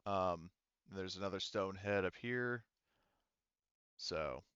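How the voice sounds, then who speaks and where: A man running a tabletop game, narrating off the cuff in a halting, conversational way. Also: deep voice, fairly bright tone, clean tone, no echo, dull audio. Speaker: game master.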